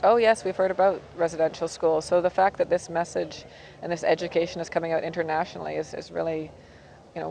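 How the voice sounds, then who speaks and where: Earnest woman, low voice. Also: gravelly, a little mellow, slight background noise, poor audio. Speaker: film producer. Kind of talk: interview.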